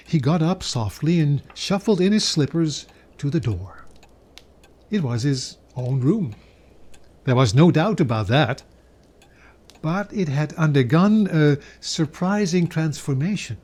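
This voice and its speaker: A man giving a dramatic, measured and expressive reading of a classic story as narrator. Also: fairly high pitch. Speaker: reader.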